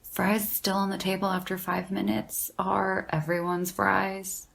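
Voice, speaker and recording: An actress playing a sardonic, deadpan young woman in casual audio drama banter, with a gravelly creak and a dry, teasing delivery. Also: mid-range voice, unhurried. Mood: deadpan needling. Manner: dry, teasing, slangy, unimpressed. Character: deadpan, sardonic young woman who loves horror paperbacks.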